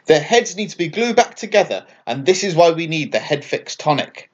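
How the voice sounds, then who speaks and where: A man voicing a kindly, brisk young female witch in a children's story, earnest and encouraging. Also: fairly high pitch, big pitch swings, muffled recording, voice pushed up. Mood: urgent.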